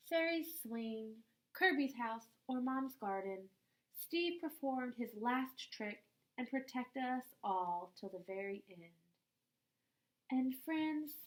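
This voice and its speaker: Woman, higher-pitched voice, slow pace, expressive children's read-aloud, deliberate phrasing. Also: rough recording. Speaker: teacher reading a picture book aloud to children.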